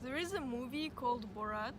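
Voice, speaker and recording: A woman's voice: high voice, lively intonation, fairly quick. A young woman from Kazakhstan, speaking in a plain, matter-of-fact way. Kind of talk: casual interview answers. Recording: noisy background.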